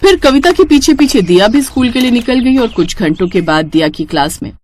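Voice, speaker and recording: A woman's voice: fairly high pitch, expressive, a little fast, a little mellow, a little hiss or hum. A woman narrating a scripted family story as a storyteller.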